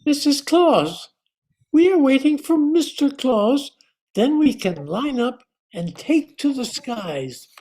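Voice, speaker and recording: A woman playing a patient, courteous lead reindeer with a polite, measured manner in an amateur radio play. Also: sits high, big pitch swings, dark tone, exaggerated voice, rough recording. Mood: courteous, businesslike.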